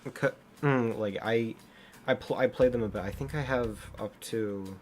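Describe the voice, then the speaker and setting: A man's voice: medium pitch, normal speed, a little mellow. A young man live-streaming a game, chatting casually with lots of restarts and laughter while translating the lines.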